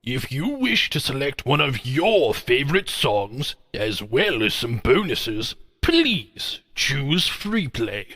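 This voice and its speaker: A man's voice: medium pitch, fairly bright tone, long reverb tail, heavily acted. A man playing a stately, genial railway controller, giving a formal scripted game introduction. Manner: formal, measured, announcing.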